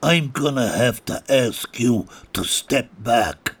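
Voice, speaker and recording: A towering, menacing American guard, as a man plays him, speaks low and deliberately slow, every word clipped and stern. The tone is somewhat husky and set back from the mic. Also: animated, heavily acted.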